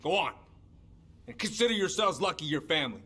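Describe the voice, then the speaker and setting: An actor playing a gruff, weary middle-aged American lawman in a naturalistic game drama. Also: on the high side, big pitch swings, fairly quick, bright, forceful tone, heavily acted. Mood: stern, angry. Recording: noisy game capture with hum.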